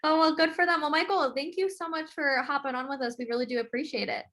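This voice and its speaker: A woman's voice: high-pitched, steady tone, quick pace, fairly bright tone. An American woman hosting a sports podcast, interviewing in a casual, chatty way.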